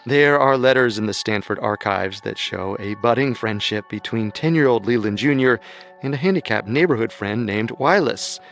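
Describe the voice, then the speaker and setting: Calm male documentary narrator. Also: mid-range voice, normal speed, soft background music. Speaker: narrator and co-host.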